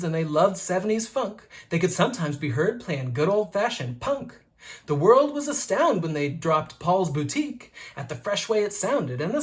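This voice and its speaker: A teacher reading a rhyming children's book aloud in a rhythmic, expressive way. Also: medium pitch.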